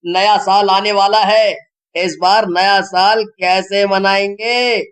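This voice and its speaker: A man voicing a cheeky, party-loving young man with a drawn-out cartoon delivery. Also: high-pitched, fairly even intonation, a bit bright, clear, resonant tone.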